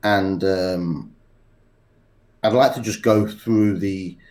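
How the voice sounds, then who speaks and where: A man with a cold, speaking in a halting way with many pauses and restarts as a podcast interview guest. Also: low voice, fairly even intonation, darkish tone. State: congested with a cold. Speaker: poker executive guest.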